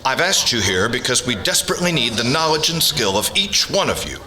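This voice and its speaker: A man playing a grave army general in a radio drama, formal, measured and authoritative. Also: medium pitch, big pitch swings, sharp tone, rough recording. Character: commanding, grave army general briefing officials.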